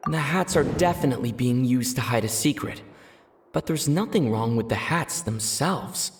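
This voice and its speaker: An actor voicing a calm, thoughtful adventurer in a video game, musing deliberately through a mystery. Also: mid-range voice, over music, natural voice. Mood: pensive.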